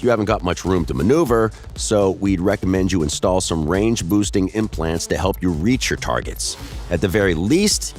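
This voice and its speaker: Man, somewhat low voice, scripted game-tips voice-over, upbeat and polished, soft music behind.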